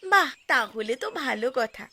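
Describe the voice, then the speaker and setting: A woman's voice: a little high, lively intonation, normal speed, bright, forceful tone, full character voice. A woman playing a caring, chatty village housewife looking after her family in a cartoon. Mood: caring, brisk. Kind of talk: Bengali cartoon dialogue.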